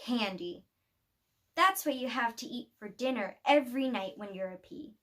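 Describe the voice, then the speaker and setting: High-voiced woman reading a children's storybook. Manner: expressive storytelling with deliberate pauses. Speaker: storybook reader. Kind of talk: children's picture-book read-aloud.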